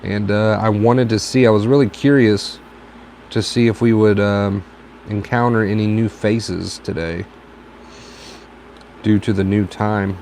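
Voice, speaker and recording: A tired man with a raspy, dry throat, giving calm advice on a casual live stream and repeating his points for emphasis. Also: fairly low pitch, measured pace, pauses often. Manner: reflective, repeats phrases for emphasis. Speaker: host answering viewer questions. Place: home stream from a tablet. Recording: faint background noise, lo-fi stream.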